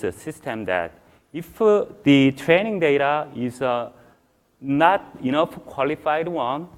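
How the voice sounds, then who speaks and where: Korean-accented male presenter in his forties, halting delivery, average pitch, on stage at a tech conference. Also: clean tone. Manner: halting, many fillers and restarts. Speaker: conference speaker. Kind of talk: technical conference talk. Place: large conference hall stage.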